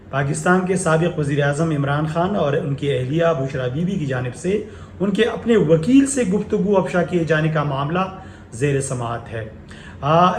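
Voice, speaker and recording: A man with a Pakistani accent presenting current affairs commentary, partly reading and partly speaking off the cuff with halting filled pauses. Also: a little high. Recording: echoey room with faint background noise.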